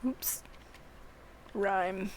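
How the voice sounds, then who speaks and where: Slow talking lady streamer chatting through her game, pokes fun at herself. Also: mid-range voice, noisy background. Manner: chatty, self-deprecating. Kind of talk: casual live gameplay commentary, reading dialogue aloud.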